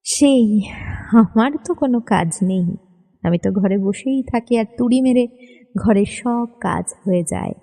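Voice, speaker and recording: A woman's voice: a little high, normal speed, vocal fry, mellow. A woman playing a weary young housewife and mother in a family sketch, sighing and sarcastic. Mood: bitter sarcasm. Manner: sighing, sarcastic, long-suffering. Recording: reverberant hall-like echo.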